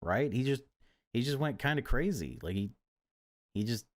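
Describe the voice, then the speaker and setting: A man's voice: medium pitch, animated, moderate pace. A man hosting a gaming video, speaking over a horror visual novel he is playing. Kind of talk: dramatic narration of game text, then casual commentary. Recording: music behind the voice. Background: game music.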